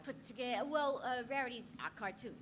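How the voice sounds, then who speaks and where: A woman's voice: fairly high pitch, a little fast, a bit bright. A woman from South Africa, a voice actress on a convention panel, chatting in a self-deprecating way and laughing often. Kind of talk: casual panel chat. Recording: noisy convention hall mic.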